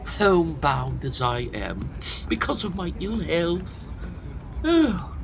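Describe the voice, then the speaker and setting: An actor playing a fussy, self-pitying hypochondriac old Victorian professor in a comic audio drama, theatrical and pompous. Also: male voice, fairly high pitch, sing-song, slow pace, gravelly, a little hiss or hum, heavily acted.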